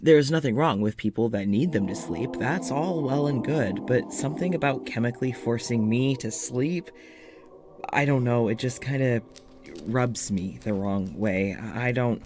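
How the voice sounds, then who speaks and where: Self-doubting, nervous man male actor for audio drama monologue; mid-pitched, halting, confessional, faint music underneath. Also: natural voice. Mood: uneasy, reflective. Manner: halting, rambling, self-deprecating. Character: anxious, self-doubting male doctor confiding in an audio journal.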